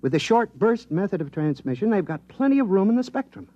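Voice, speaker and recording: Excitable high-voiced radio-play scientist. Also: male voice, brisk, dull audio. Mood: pleased, matter-of-fact. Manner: animated, explanatory, eager. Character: excitable, proud government signal scientist, eager and absent-minded. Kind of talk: 1950s radio drama acting.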